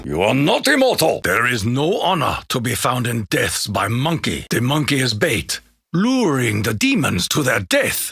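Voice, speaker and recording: Proud Japanese warrior, husky and gravelly, Japanese accent, grave formal delivery, honor-bound, menacing, video game character. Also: male voice, mid-range voice, bright, forceful tone, no room sound, studio quality, full character voice. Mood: wrathful. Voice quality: gruff, gravelly. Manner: grave, formal, archaic phrasing with dropped articles.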